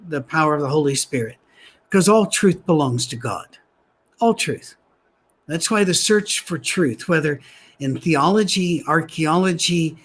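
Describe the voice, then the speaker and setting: Soft-voiced man preaching with dry humor. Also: a little high. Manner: dry humor, conversational asides. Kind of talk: sermon-style Bible teaching.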